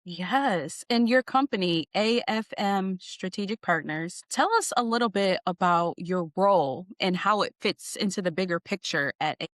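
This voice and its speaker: A woman's voice: on the low side, animated, moderate pace, few pauses, fairly bright tone, dry recording. Polished female podcast host, dry sound. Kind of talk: scripted guest introduction and interview questions.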